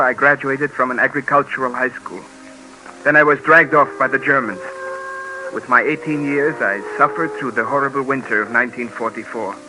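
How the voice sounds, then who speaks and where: A weary young Eastern European survivor in a vintage radio drama. His voice is very bright and tense, with solemn, measured delivery and an earnest, pleading formality. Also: mid-range voice, rough recording. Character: weary 24-year-old married Eastern European survivor, earnest letter writer. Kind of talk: 1950s radio documentary acting. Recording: 1950s radio recording.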